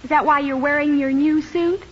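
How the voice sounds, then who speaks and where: An actress playing a hurt, sarcastic young housewife in a 1950s radio comedy. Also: female voice, high-pitched, fairly even intonation, moderate pace, natural voice. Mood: probing, hurt. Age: young adult. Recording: muffled, hissy 1950s radio recording.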